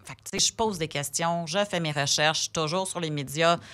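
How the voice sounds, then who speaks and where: Femme anglophone, français québécois, voix un peu grave, bavarde, hésitations fréquentes. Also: female voice, deep voice, a little fast, fairly bright tone. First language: English. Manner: chatty, many fillers and restarts. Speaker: sponsor representative guest. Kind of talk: casual podcast conversation.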